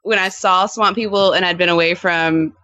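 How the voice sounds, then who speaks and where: A woman's voice: fairly low pitch, moderate pace. A woman with an American accent that slips into East Texas Southern, chatting casually and acting out her anecdotes as a podcast guest. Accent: American, East Texas-style Southern that slips in. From Louisiana, US. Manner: animated storyteller who acts out quoted dialogue. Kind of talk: casual chat, telling anecdotes.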